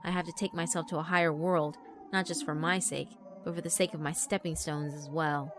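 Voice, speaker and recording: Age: teen. Figure: woman voicing a boastful boy bully who calls himself king. Tone: deep, cold. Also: steady tone, brisk, background noise, lightly acted. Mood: gloating. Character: arrogant teenage boy bully, self-proclaimed king. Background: background music.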